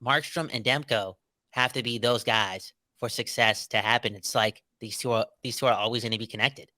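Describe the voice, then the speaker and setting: Male podcast co-host, casual and emphatic, slangy banter, forceful tone. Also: mid-range voice, normal speed, dry recording. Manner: animated, slangy, emphatic, says "bro" and "right?". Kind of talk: casual sports debate.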